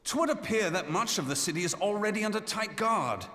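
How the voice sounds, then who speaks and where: A man's voice: high-pitched, expressive, brisk, sharp tone. A man playing a suave, roguish adventurer in a fantasy game, wry and articulate with archaic formal diction. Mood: wry, composed. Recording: long hall-like reverb.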